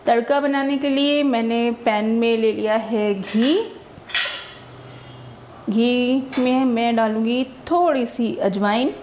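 A woman's voice: a little high, slow, a little mellow, muffled recording, a little hiss or hum. A woman narrating her own cooking video step by step, chatty and homely, explaining each step as she cooks in her home kitchen. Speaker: cooking vlogger.

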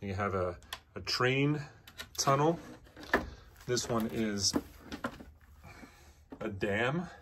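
A man gives an unscripted walkthrough as a presenter, pointing things out in short, halting phrases as he moves around indoors. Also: fairly low pitch, animated, noisy background. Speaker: auction house presenter. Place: indoor antiques showroom, walking around.

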